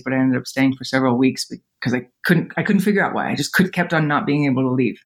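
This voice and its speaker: A woman in her thirties, a podcast guest telling personal stories casually, with frequent fillers and laughter. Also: sits low. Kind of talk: casual storytelling interview.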